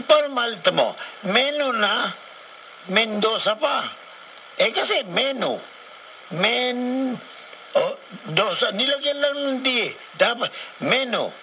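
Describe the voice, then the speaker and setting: A man's voice: very high voice, pushed and bright. A man anchoring radio news with casual, joking banter, teasing and repeating himself playfully, on a noisy AM radio recording.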